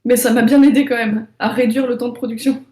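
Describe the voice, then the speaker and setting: A woman's voice: on the high side, steady tone, quick pace, warm tone, roomy sound. A young French woman, an illustrator, chatting casually in an interview with many fillers and restarts. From France. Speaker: illustrator and author.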